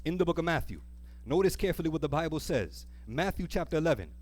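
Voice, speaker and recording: A man with a fairly high voice delivers a church sermon. He is earnest and emphatic, repeating key phrases and turning them into questions for the congregation. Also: animated, quick pace. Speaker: preacher. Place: speaking live to a church congregation.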